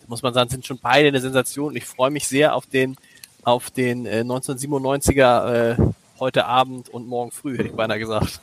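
A man hosting a casual podcast chat, lively and laughing, often stumbling over his words. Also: medium pitch, a little fast, background noise. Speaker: podcast host.

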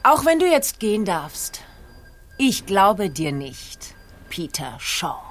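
A woman's voice: mid-range voice, very animated, takes it slowly, soft background music. An actress playing a stern woman who interrogates a teenage boy in a radio play, with a cold, accusing manner.

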